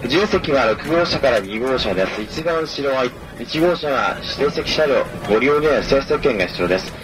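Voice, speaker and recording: A man's voice: animated, normal speed. Male train conductor, mid-range voice, courteous formal announcements through an onboard PA, with noticeable train noise behind. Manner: formal, polite, repeats key information. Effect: public address speaker. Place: inside a moving train, over its PA. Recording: noisy train PA speaker, rumbling vehicle.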